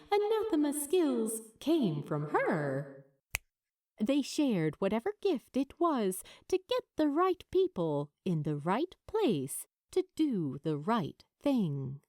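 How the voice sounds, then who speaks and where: A woman narrating fiction as a dramatic storyteller, in a measured, deliberate way with emphatic pauses. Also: mid-range voice, a little mellow, no room sound, clean studio sound. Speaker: audiobook narrator.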